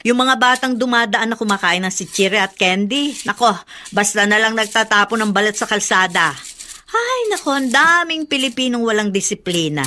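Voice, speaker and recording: A woman playing a talkative, protective middle-aged Filipino mother in a radio drama, expressive and full of exclamations. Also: a little high, moderate pace, slight background noise. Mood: friendly, fussy.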